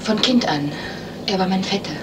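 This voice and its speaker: A guarded lady witness acted by a woman in a vintage German radio drama. She has a mid-pitched voice and gives terse, reserved answers. Clear noise runs through the recording. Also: lively intonation, moderate pace, natural voice. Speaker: actress in a crime radio play.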